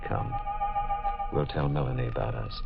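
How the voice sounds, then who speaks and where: An actor playing an ardent, earnest suitor in a 1940s radio mystery, with declamatory delivery. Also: male voice, extremely low pitch, steady tone, moderate pace, vocal fry, heavily acted. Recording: dull 1940s radio recording, music behind.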